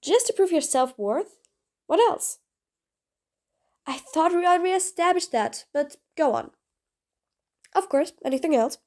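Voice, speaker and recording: A cool-headed female supervillain, as a woman plays her, blunt and sardonic, giving orders in clipped lines. Her voice sits high and swings widely in pitch. An audio roleplay with a commanding edge. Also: animated, unhurried, long pauses, darkish tone. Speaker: voice actor. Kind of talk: audio roleplay, one side of a dialogue.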